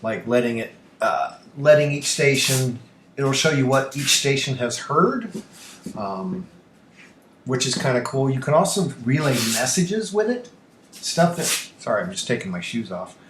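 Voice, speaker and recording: A man's voice: mid-range voice, expressive, a little slow. A man hosting a casual live-stream tutorial, rambling and thinking aloud in a self-deprecating way. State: allergy coughing and throat-clearing. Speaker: livestream host and ham radio operator. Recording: roomy echo, faint noise.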